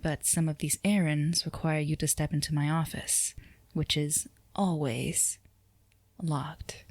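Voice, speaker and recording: A woman acts out a poised female CEO with a low voice, recorded very dry and close. She is flirtatious and composed, teasing the listener in formal, unhurried phrases, in the manner of an ASMR roleplay. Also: no echo. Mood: teasing, assured.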